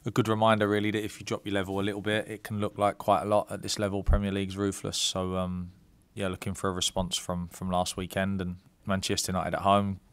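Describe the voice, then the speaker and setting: Man in his 30s, football manager at presser, low smooth voice, dry room, halting ums. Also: completely flat delivery, dry recording. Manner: measured, many ums and restarts. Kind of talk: press conference answers. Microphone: desk mic.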